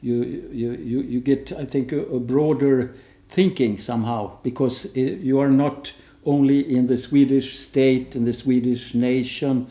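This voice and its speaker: A man with a very mellow, dark voice speaks on a conference panel. He hesitates and restarts often while searching for words, and a roomy hall echo surrounds him. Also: medium pitch, steady tone, a little slow, hardly any pauses.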